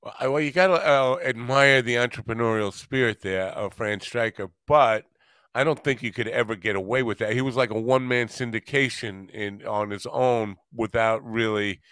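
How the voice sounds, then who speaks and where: A man's voice: medium pitch, normal speed, a bit bright, clean tone, no room sound. An older American man chatting casually as the host of an interview show. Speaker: podcast host. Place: small home studio, indoors. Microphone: close studio microphone with headset.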